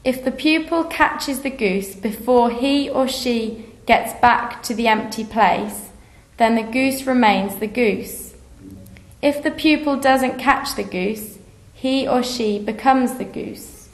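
A woman reading the rules of a playground game aloud for a listening lesson, in a roomy recording. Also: on the high side. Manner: slow, clear instructional reading. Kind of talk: scripted listening-lesson narration.

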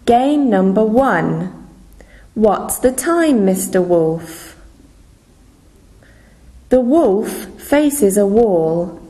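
A woman from England, reading game rules slowly for a listening lesson. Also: medium pitch, lively intonation, dark tone. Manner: very slow, deliberate, carefully spaced reading. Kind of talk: scripted listening-lesson narration.

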